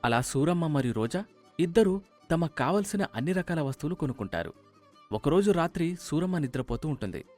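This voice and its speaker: A man's voice: on the high side, expressive, fairly quick, darkish tone, soft background music. A man narrating a scripted story as its storyteller.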